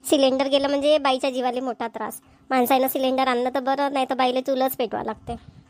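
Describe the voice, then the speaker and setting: A high-pitched cartoon village housewife, played by a woman, harried and full of complaints. She chats and grumbles repetitively in a Vidarbha Marathi accent. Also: flat delivery, quick pace, darkish tone, no room sound, close to an everyday voice. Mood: hurried. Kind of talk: amateur cartoon comedy dialogue.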